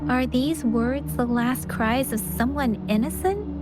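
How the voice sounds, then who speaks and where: Gentle young female game scout: high voice, unhurried, earnest, perceptive, music underneath. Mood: grave, pondering. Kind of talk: video game voice acting. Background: background music.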